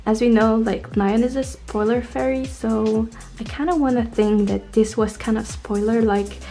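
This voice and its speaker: Young woman from poland vlogging, english, chatty and informal, relaxed mellow voice, bit of music behind. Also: a little high. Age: young adult. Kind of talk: casual storytelling to camera.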